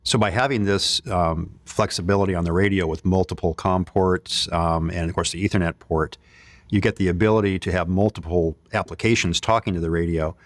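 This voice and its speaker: A man's voice: low-pitched, steady tone, fairly quick, dry recording. A man presenting technical equipment in an informal product demo, explaining it in a conversational way. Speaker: company presenter demonstrating radio equipment.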